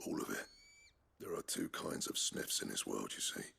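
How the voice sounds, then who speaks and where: Gravelly, weary master smith. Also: male voice, rumbling low, huge pitch swings, takes it slowly, sharp tone, heavily acted. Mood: bitter, regretful. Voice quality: gravelly, husky. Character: weary, proud male master smith, guilt-laden exile. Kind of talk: video game drama acting.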